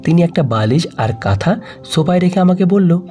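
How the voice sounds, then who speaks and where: A man narrating an emotional audio story in the first person, reading in a soft, sorrowful way. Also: a little high, lively intonation, normal speed, soft background music.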